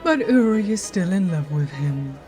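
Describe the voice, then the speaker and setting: A woman's voice: lowish voice, normal speed, soft background music, natural voice. A woman playing a playful, gossipy law-office colleague in an audio roleplay, with an upbeat, teasing manner. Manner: animated, teasing.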